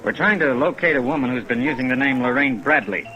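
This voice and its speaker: An actor playing a businesslike police deputy in a 1950s radio drama, plain and official, on a dull old recording. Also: male voice, mid-range voice, fast, over music, natural voice.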